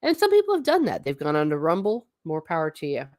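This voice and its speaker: A woman's voice: low-pitched, wildly swooping pitch, quick pace, a little mellow, no echo, studio quality. A woman hosting a casual livestream, reading viewer comments and answering them in a chatty, blunt way. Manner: chatty and blunt, with frequent asides and restarts. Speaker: livestream host.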